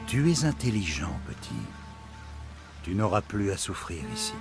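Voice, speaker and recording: A calm, dangerous killer in a video game. His voice is deep and gravelly, protective yet menacing, with music underneath. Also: normal speed, exaggerated voice. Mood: calm, ominous.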